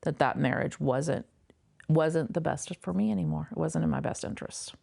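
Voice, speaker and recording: A woman guest sharing a personal story in a reflective, unhurried way, with frequent fillers. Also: low-pitched, creaky, a little mellow. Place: a home.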